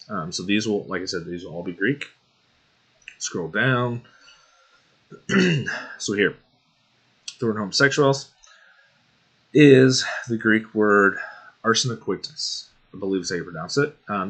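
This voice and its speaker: A young man with a bright, forceful voice that sits a little low, leading a casual study of scripture. He takes it slowly, says um often and clears his throat between points. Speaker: Bible study video host. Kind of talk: casual screen-share Bible study walkthrough.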